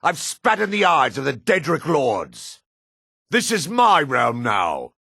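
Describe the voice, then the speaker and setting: An actor playing a proud, hard-edged male dark elf in a fantasy game, with a husky voice and a cool, self-assured manner. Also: huge pitch swings, slow, no room sound, studio quality, full character voice. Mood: haughty, defiant. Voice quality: husky, low. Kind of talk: fantasy game character lines.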